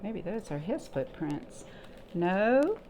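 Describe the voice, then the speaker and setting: A woman reading and chatting to young children as a storytime host, in an expressive sing-song way with lots of simple questions. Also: mid-range voice, slow pace. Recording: noticeable background noise.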